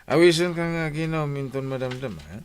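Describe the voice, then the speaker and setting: A man's voice: a little high, a little fast, smooth, lightly acted. A man playing a jealous, hot-tempered village husband who accuses and confronts, in a radio drama. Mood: pushy. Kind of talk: Ilocano radio drama acting.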